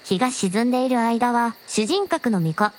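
A woman playing a gentle, very polite dragon princess with a softly teasing manner in a scripted audio drama. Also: fairly high pitch, lively intonation, normal speed, close to an everyday voice. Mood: sweet, composed. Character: gentle polite dragon princess, a shrine maiden's alternate self.

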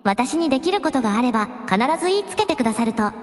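A woman as a high-voiced, ladylike dragon princess. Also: big pitch swings, fast. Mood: firm, polite. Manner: refined, very formal ladylike speech. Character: polite princess who turns into a dragon, resolute. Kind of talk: radio drama acting. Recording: echoing hall reverb, faint music behind.

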